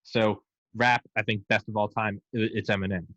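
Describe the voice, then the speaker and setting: A man's voice: on the low side, level tone, normal speed, a bit bright, no echo, highs cut off. A man in his thirties chatting casually, rambling and swearing while he jokes. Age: 30s. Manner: profane, rambling, joking. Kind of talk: casual podcast banter.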